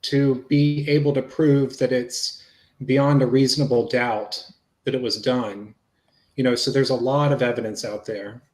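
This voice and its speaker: A man's voice: medium pitch, steady tone, unhurried, darkish tone, lightly acted. A man reading the part of a patient investigator in a police interview, explaining things plainly and casually. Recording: roomy, echoey sound.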